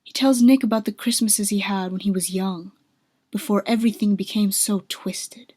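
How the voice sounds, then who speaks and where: Female narrator, scripted storytelling, medium pitch, dry studio-like sound. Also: fairly even intonation, normal speed, darkish tone, no echo.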